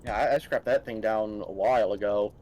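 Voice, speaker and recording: A man's voice: on the low side, brisk, darkish tone. A man chatting in an easygoing, wry way as he plays a co-op game with friends. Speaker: co-player. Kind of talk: casual gaming chat.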